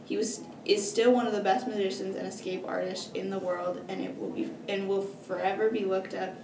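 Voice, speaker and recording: A woman's voice: mid-range voice, level tone, a little fast. A young woman narrates a scripted history report as a student presenter, stumbling and correcting herself as she reads. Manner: stumbling, self-correcting reading. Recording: distant mic, roomy echo, clear noise.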